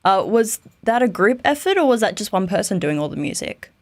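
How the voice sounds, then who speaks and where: A woman's voice: mid-range voice, sing-song, brisk, dry recording. A woman interviewer asking questions in a conversational podcast style.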